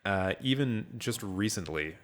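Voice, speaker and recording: A man's voice: on the low side, animated, unhurried. A man co-hosting a casual film discussion podcast, talking in a wry, tongue-in-cheek way.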